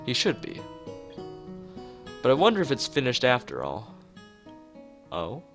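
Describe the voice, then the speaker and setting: A man's voice: mid-range voice, huge pitch swings, measured pace, creaky, exaggerated voice. A man voicing a polite, concerned teenage schoolboy, the hero of a visual novel. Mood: mildly concerned. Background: guitar music.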